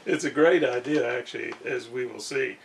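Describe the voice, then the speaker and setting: A man giving a casual hands-on demonstration, speaking haltingly as he thinks aloud in an indoor workshop. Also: mid-range voice, gravelly. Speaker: radio restorer, video host. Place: indoor home workshop at a desk. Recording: distant mic with background noise.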